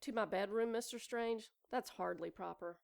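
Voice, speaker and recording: A woman's voice: medium pitch, normal speed, vocal fry, a little mellow, lightly acted. An actress playing a self-absorbed expectant mother in a noir audio drama, coy, defensive and sly. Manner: coy, mock-proper, defensive.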